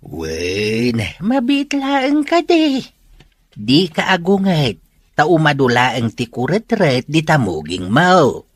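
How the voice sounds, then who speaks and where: Man acting middle-aged rural charmer, slow delivery, very swoopy pitch, playful teasing, dry close radio drama sound. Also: wildly swooping pitch, dry recording, full character voice. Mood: flirtatious teasing. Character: teasing, flirtatious village father courting a vegetable seller.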